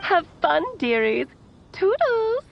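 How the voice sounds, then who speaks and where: A woman's voice: extremely high pitch, sing-song, takes it slowly, gravelly, lo-fi sound, a little hiss or hum, full character voice. A woman playing a whimsical, doting storybook enchantress in a video game, theatrical and sing-song. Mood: playful farewell. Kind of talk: English video game voice acting.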